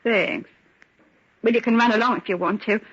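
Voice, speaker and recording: A woman's voice: fairly high pitch, steady tone, normal speed, fairly bright tone, husky. An actress playing a bitter, wronged working-class young woman with a sardonic, defiant manner in an old-time radio drama. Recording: dull, lo-fi vintage radio recording.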